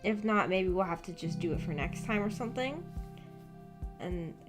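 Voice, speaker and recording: A woman's voice: mid-range voice, animated, normal speed. A young adult American woman chatting casually with viewers on a livestream, often hesitant and thinking aloud as she goes. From United States. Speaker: live streamer, visual novel book club host. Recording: background music under the voice. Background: background music.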